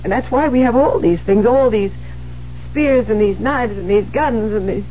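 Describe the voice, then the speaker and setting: An actress playing a distraught, guilt-haunted widowed writer, stammering and pleading in 1970s radio drama style. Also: female voice, moderate pace, natural voice. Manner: stammering, pleading, highly emotional. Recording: muffled, noisy vintage radio broadcast.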